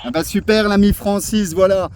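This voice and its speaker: A man's voice: sits high, steady tone, moderate pace, crowd noise. A man in a casual radio chat, speaking as an amateur operator from outdoors. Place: outdoors on a seaside cliff.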